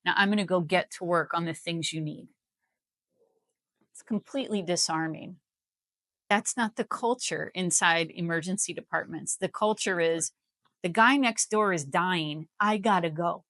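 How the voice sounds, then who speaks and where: A woman's voice: fairly low pitch, moderate pace, a bit bright, no room sound. An American woman explaining things in a live interview as a guest, in an emphatic, persuasive manner. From Missouri, United States. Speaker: healthcare company CEO, interview guest.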